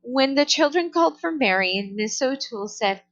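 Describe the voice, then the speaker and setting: A woman reading a children's story aloud in a careful, deliberate way, on a somewhat dull recording. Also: sits high, lively intonation, a bit bright. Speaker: storybook reader. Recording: dull, lo-fi sound.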